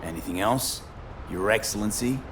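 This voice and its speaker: A man playing a dry, sarcastic mercenary in a video game, speaking in a terse deadpan. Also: on the low side, moderate pace, background noise, lightly acted. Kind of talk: video game cutscene acting.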